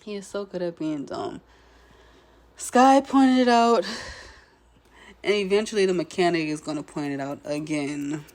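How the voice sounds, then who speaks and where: Hoarse, slightly nasal woman chatting. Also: fairly low pitch, a little slow, long pauses, darkish tone. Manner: chatty, laid-back, frequent throat clearing. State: hoarse, recovering from illness. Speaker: show commentator. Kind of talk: casual recap commentary.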